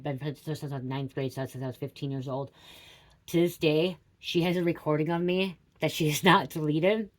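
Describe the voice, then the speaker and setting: A middle-aged woman talking casually and confessionally, blunt and sweary, repeating phrases for emphasis. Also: low voice. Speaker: host giving a spiritual reading.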